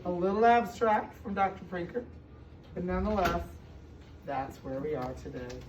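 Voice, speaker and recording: An American woman reads a picture book aloud to young children, pausing to explain and ask questions, with emphatic stretched words. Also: lowish voice, animated, a little mellow. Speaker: school superintendent reading aloud to children. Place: indoor room with children present. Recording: distant, echoey, muffled room recording with noise.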